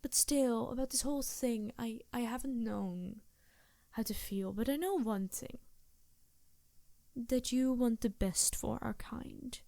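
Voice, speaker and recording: A woman voicing a seductive, scheming succubus demon who is a loyal ally, speaking softly and musingly in an intimate improvised ASMR roleplay. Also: a little high, slow, creaky, dry recording. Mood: apologetic, hesitant.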